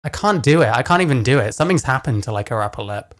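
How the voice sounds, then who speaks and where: Guy with a deepish voice ranting over a clip, swears a lot, blunt, middle pitch. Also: animated, fast. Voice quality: deeper voice. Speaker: commentary host. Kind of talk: casual reaction commentary.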